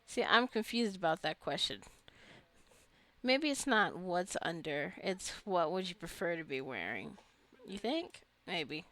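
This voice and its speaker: Female gamer, slightly low voice, chatty and rambling, musing aloud through a casual playthrough. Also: big pitch swings, unhurried, a bit bright. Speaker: gamer narrating own gameplay. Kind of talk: casual let's play commentary.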